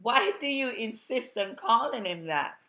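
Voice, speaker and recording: A Jamaican woman reading as a composed young female lawyer from a storybook reading. She speaks in a measured, guarded way, and her voice is pitched noticeably higher than her own low narrating voice. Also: expressive, bright, forceful tone, full character voice.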